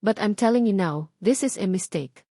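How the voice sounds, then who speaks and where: A woman voicing a stern, exasperated female campaign manager in a dramatic audio story, with a sharp, scolding manner. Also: mid-range voice, animated, moderate pace, no echo, studio quality. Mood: resigned.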